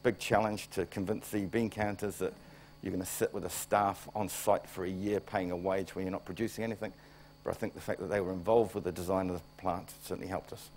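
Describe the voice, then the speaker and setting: A man's voice: deep voice, flat delivery, a little fast, darkish tone, slight background noise. A middle-aged man with a New Zealand accent, a plant director giving a conference talk, plain-spoken and wry, coming down with a cold. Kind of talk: conference presentation.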